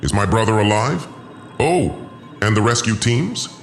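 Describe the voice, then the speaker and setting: A man performs a cold sci-fi tyrant with a low voice that rises and falls dramatically. He is pompous, gloating and vengeful, telling his own tale as audio drama over clear background music. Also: lively intonation, measured pace. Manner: pompous, menacing, theatrical. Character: cold, vengeful, gloating male usurper lord, sci-fi villain. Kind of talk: first-person audio drama narration and dialogue.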